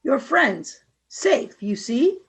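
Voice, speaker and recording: A woman's voice: high-pitched, very animated, drawn-out words, breathy edge, full character voice. A woman voicing a cold, suspicious alien woman leader who speaks in clipped lines in a radio play. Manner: clipped, curt questions and statements. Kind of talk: amateur radio play acting.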